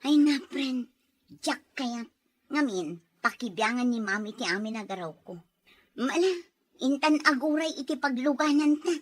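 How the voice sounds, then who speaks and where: A woman's voice: high voice, expressive, normal speed. A woman acting a sincere, stubborn young working woman in an audio drama, with an earnest, emotive delivery. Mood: friendly.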